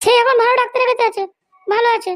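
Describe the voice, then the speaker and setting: Man as a squeaky, bossy cartoon madam. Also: fairly even intonation, racing, fairly bright tone, no echo, clean studio sound. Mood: matter-of-fact. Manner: boastful, commanding. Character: bossy, scheming woman politician, cartoon madam. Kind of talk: amateur comedy cartoon dialogue.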